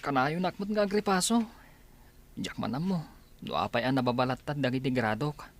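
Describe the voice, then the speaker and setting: A man's voice: medium pitch, animated, brisk. A young man playing a discouraged student son in a radio drama, pleading and hesitant. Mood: defensive. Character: discouraged teenage son who dreads college.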